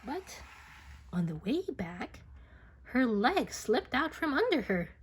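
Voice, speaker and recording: A woman reading a picture book aloud to children in an expressive, dramatic storytelling manner. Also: high voice, slow, husky. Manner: expressive, dramatic, with whispers and playful sound effects.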